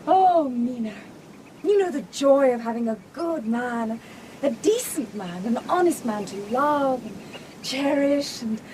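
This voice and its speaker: An excitable young English gentlewoman in radio drama. The voice is high and animated, girlish and genteel, over a faint bed of background noise. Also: unhurried, low-quality recording. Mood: happy, wistful. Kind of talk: period radio drama acting.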